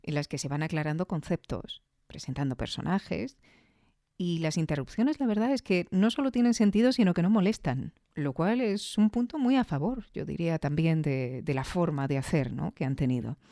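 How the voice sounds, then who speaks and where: Female host, Spain Spanish accent, soft dark tone, close dry sound, thoughtful conversational commentary. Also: low voice, expressive, normal speed, no echo. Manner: reflective, explanatory, with rhetorical questions. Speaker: audio fiction review show host.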